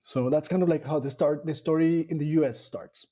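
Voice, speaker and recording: A man from Colombia, a dairy nutrition scientist talking about his career in a casual interview, pausing often to rethink his words. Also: fairly high pitch, steady tone, warm tone. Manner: thoughtful, with frequent fillers and restarts.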